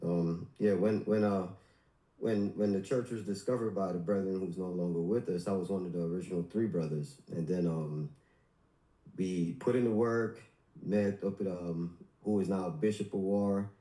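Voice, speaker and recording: A man's voice: low voice, fairly even intonation, normal speed, dark tone, echoey room, rough recording. A man in his forties from Guyana, calling in to a church livestream and telling his story with deference. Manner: deferential, says sir often. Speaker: caller, church member. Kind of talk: casual call-in testimony.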